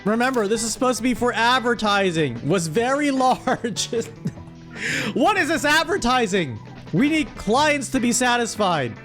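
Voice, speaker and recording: A man's voice: very high-pitched, big pitch swings, unhurried, a bit bright, soft background music. A man hosting a stream with casual comedic commentary, exasperated and playfully scolding as he reads out what viewers write. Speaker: streamer host.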